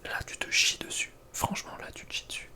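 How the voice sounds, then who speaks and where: Whispering Frenchman, casual ASMR commentary. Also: fairly quick. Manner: casual, slangy, hesitant asides. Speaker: ASMR content creator.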